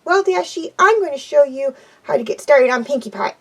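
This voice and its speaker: A woman acts out a hyper, bubbly pink pony mare from a storybook. Her voice is very high and squealing, and it jumps all over. She is loud and can hardly contain herself. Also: fairly quick, distant mic. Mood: excited. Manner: squealing, excitable.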